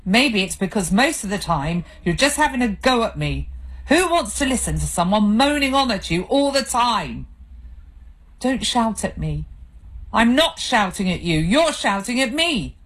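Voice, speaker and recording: A woman's voice: fairly high pitch, animated, slow, pauses often, a bit bright, voice pushed up, full character voice. A woman reading the part of a drunk, distraught husband who has just lost his job, bitter and defensive in a row with his wife. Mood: angry. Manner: bitter, defensive, raised voice in arguments.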